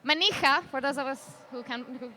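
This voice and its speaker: A woman's voice: high voice, brisk. A woman doing live roller derby commentary in a chatty, joking way, with a faint arena hum behind her. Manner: jokey, chatty, laughs often. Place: leisure centre arena.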